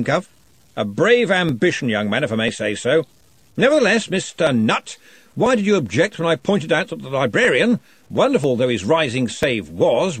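A man voicing a loud, brusque old wizard chief in a storybook reading, bellowing and blustering. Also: on the high side, slightly rough, no room sound, pitched above the performer's own voice.

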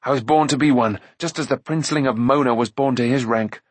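Brief: man playing a sulky, easily nettled young lad, grumbling indignation, husky, dry. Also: medium pitch, fairly quick, no room sound, lightly acted. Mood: indignant. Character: young assistant pig keeper, proud and easily nettled.

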